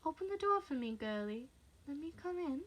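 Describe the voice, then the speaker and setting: A woman's voice: high-pitched, moderate pace. A woman voicing a menacing, irritable male henchman who bullies and taunts in an audiobook story. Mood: teasing menace. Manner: snapping, threatening, taunting.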